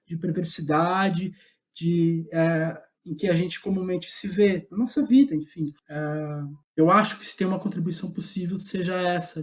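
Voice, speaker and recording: A Brazilian man speaking as a guest playwright in a casual, reflective interview, searching for his words as he goes. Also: high voice. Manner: thoughtful, halting, searching for words. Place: remote online call.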